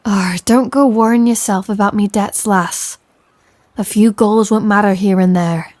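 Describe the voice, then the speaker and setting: Woman acting a swaggering pirate captain, low-voiced. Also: fairly even intonation, measured pace, no echo, close to an everyday voice. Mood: teasing, insistent. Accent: stage pirate. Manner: teasing, gently commanding, old sailor slang. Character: swaggering, tender female pirate captain, gently commanding. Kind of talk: scripted roleplay audio, intimate second-person address.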